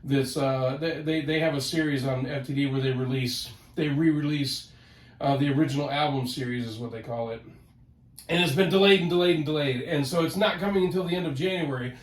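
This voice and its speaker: A man with a head cold, sounding nasal, hosting a casual collector vlog from his home office in an excitable, rambling way that repeats words. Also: on the high side, brisk. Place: home office, ten-foot ceiling. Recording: roomy home office, mic at a distance.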